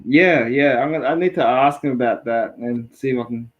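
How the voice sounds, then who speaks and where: A man's voice: medium pitch, fairly quick, muffled recording. A man co-hosting a casual podcast, rambling and hesitant, swearing casually as he talks. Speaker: podcast co-host.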